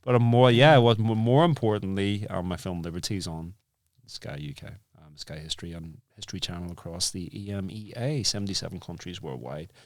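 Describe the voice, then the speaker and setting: A man's voice: sits low, a little fast, hardly any pauses, darkish tone, no room sound, clean studio sound. A man hosting a casual interview podcast. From Ireland. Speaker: podcast host, filmmaker.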